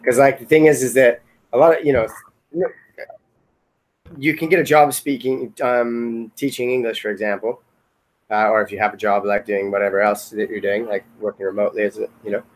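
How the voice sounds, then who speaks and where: Man, low pitch, flat intonation, hesitant, rambling, casual online meeting chat. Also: fairly quick, poor audio. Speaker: software team member in a retrospective meeting.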